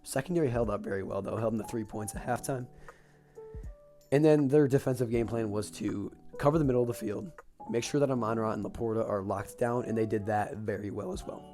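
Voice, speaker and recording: Raspy-voiced young man recapping a game. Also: on the low side, brisk, faint music behind. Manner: excited fan talk with fillers. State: raspy, recovering from illness. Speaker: sports podcast host.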